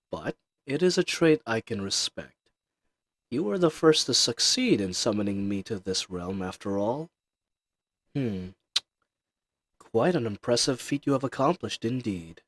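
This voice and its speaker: A man voicing an ancient, powerful dragon in human form, speaking in a grandiose, condescending and seductive way in a roleplay audio drama. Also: medium pitch. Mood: curious, imperious. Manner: slow, grandiose, formal, condescending, with drawn-out pauses. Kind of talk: scripted roleplay audio drama addressed to the listener. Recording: slight fuzzy microphone hiss.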